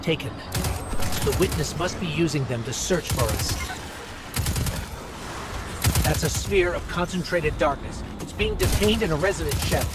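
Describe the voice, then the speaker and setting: An actor playing a small, inquisitive robot companion in a video game, earnest and analytical. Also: male voice, fairly high pitch, lively intonation, moderate pace, very noisy, lightly acted. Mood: alert, analytical.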